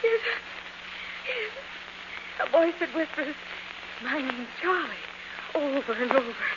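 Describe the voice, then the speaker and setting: A woman's voice: high voice, very animated, full character voice. An actress playing a fragile young woman who fears for her sanity, breathless and pleading, in 1940s radio thriller style. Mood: anxious, despairing. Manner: breathless, halting, pleading, theatrical. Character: frightened, fragile young niece doubting her own sanity. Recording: dull, noisy old 1940s radio recording.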